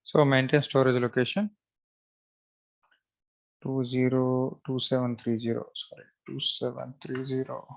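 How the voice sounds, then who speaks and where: A man giving a step-by-step screen-share software tutorial, thinking aloud in a halting, repetitive, instructional way. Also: mid-range voice, flat delivery, gravelly. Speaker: software trainer.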